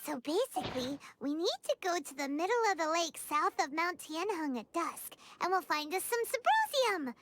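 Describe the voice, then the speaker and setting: Tiny fairy sidekick, very high squeaky voice, swooping intonation, eager, childlike, video game. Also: female voice, animated, moderate pace, few pauses, background noise. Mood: excited. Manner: exclamatory, eager. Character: small, chirpy, excitable childlike fairy companion. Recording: music playing behind the voice.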